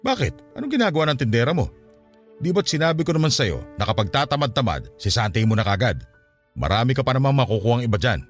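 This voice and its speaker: Domineering boyfriend, swooping pitch. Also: male voice, very animated, quick pace, soft background music. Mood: stern, disapproving. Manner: interrogating, domineering. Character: suspicious, controlling, stern boyfriend back from abroad. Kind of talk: Tagalog radio drama acting.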